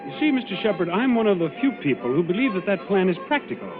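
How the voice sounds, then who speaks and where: Resolute naval commander, tinny old radio. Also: male voice, sits high, big pitch swings, fast talker. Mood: polite, probing. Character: resolute young male naval commander, level-headed. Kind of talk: 1940s radio acting. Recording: tinny old radio broadcast, music behind.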